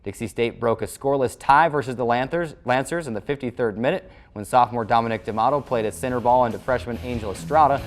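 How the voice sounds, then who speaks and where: Male sports host, mid-pitched voice, formal broadcast recap read over music. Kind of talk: scripted sports recap narration.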